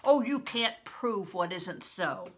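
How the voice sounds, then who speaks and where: A woman's voice: medium pitch, big pitch swings, takes it slowly, slight background noise. Grandmother voicing a scornful bearded steward. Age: older. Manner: scornful, haughty. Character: cruel bearded medieval steward, contemptuous man.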